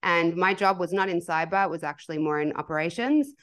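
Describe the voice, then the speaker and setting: Australian woman, podcast host, low voice, articulate. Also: fairly quick, fairly bright tone. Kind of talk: casual interview talk.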